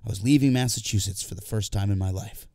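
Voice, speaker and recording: Low, mellow male audiobook narrator. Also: quick pace, no room sound, clean studio sound. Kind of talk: scripted first-person literary narration.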